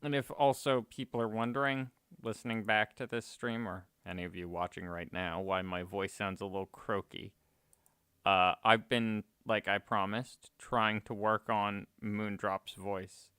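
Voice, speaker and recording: A man's voice: fairly low pitch, steady tone, measured pace, bright, forceful tone, clean tone, no room sound, clean studio sound. A man streaming a game and chatting casually, rambling and correcting himself with small laughs. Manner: rambling, self-correcting, chuckling. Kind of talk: casual chat while gaming.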